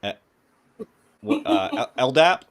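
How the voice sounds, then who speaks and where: A man's voice: mid-range voice, very animated, slow, a crowd in the background. A man with a New England accent hosting a casual livestreamed tech tutorial, reading quiz questions aloud and greeting viewers by name. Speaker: host of a cybersecurity lab walkthrough.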